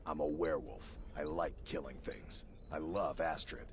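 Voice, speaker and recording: A gruff, surly werewolf assassin. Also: male voice, rumbling low, steady tone, moderate pace. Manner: blunt, surly, dismissive. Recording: game audio with background noise.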